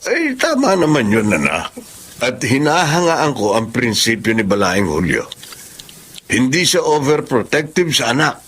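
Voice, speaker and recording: A man's voice: medium pitch, wildly swooping pitch, measured pace, a bit bright, breathy edge, exaggerated voice. An actor playing a friendly, inquisitive older father in a Filipino drama, chatty and full of questions. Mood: fatherly.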